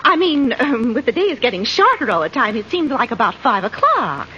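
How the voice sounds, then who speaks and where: A woman's voice: on the high side, big pitch swings, normal speed, sharp tone. A woman playing a polite but flustered, hesitant middle-aged housewife in an old radio comedy. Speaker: supporting actress in radio comedy. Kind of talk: 1940s radio comedy acting. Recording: dull vintage radio, faint noise, audience.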